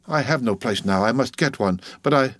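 A man's voice: medium pitch, lively intonation, moderate pace, fairly bright tone, no room sound. A man voicing a poor, frantic immigrant husband who pleads breathlessly and stammers in his agitation. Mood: anxious, stammering.

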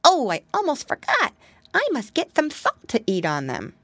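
A little piggy boy with a high, squealing voice, played by a woman reading a story. He pleads and cries out. The sound is close and dry. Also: huge pitch swings, normal speed, fairly bright tone, no room sound, full character voice. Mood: eager.

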